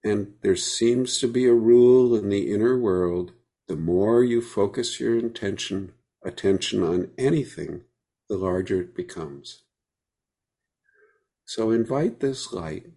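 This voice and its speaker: A man leading a guided meditation, speaking gently with unhurried pauses between phrases. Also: fairly low pitch, gravelly.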